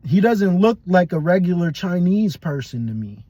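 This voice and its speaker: A man's voice: sits high, very animated, moderate pace, warm tone, no room sound. A young adult man casually giving blunt, profane, rambling fight predictions as a podcast host.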